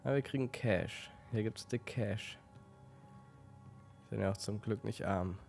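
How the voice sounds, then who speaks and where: A man with a slight cold giving relaxed let's play commentary, stumbling now and then while reading game text aloud. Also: on the low side, a little slow, vocal fry, soft background music. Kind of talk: casual gameplay commentary.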